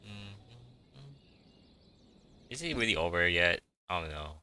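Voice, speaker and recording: A man's voice: sits low, expressive, a little slow, pushed and bright, clean tone. A man giving casual gameplay commentary as a streamer, informal, muttering short reactions. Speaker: game streamer.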